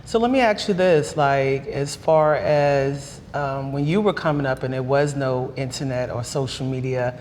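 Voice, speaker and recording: A woman in her forties, a comedian, chatting on a talk panel in a relaxed, conversational way. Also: rumbling low, a little slow, hardly any pauses. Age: 40s. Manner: relaxed, conversational, many fillers. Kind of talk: candid panel chat. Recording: reverberant room with faint noise.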